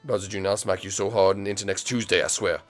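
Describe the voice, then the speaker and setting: A man's voice: sits low, fairly even intonation, fast, soft background music, close to an everyday voice. Man playing a blunt, grumbling cartoon monster dad. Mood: stern. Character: gruff, short-tempered cartoon monster dad.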